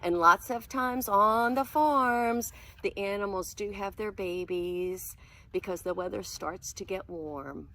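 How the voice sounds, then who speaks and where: A woman reading a picture book aloud to preschoolers as their teacher, expressive and sing-song, often hushed, pausing to explain words and ask questions. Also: medium pitch. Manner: animated, asks questions and explains words to children.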